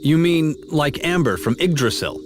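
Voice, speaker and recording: A man acting a brash, impatient young video game adventurer with a sarcastic, informal and excitable way of talking. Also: on the high side, normal speed, lightly acted. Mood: curious. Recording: faint music behind the voice.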